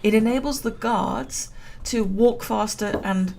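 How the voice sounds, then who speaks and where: Woman streamer, slow and chatty, gravelly voice going hoarse, laughs at her own jokes. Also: fairly high pitch, darkish tone, a little hiss or hum. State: losing her voice. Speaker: gameplay commentator. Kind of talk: relaxed let's-play commentary.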